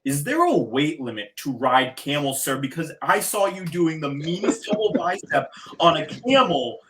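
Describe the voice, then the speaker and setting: A man's voice: fairly high pitch, very animated, normal speed, noisy background. A man hosting an interview podcast with an emphatic, theatrical delivery that draws out words like an announcer. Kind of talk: showy guest introduction and casual interview talk.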